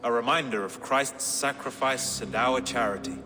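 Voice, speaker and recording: With clear music and long hall reverb around him, an earnest medieval retainer speaks in a mid-pitched male voice. A man acts him out for a video game. He is formal, grave and persuasive. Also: normal speed, close to an everyday voice. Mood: grave, pointed. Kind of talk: video game dramatic acting.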